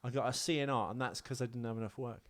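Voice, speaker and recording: A man's voice: mid-range voice, steady tone, fast, fairly bright tone. A British man hosting a casual comic podcast, chatting in a rambling, self-deprecating way. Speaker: podcast host.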